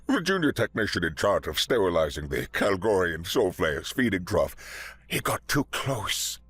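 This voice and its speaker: A man voicing a nervous amphibian male curator who stammers in a wet, croaking voice. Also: low-pitched, sing-song, moderate pace, a bit bright, exaggerated voice. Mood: desperate. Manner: stammering, anxious.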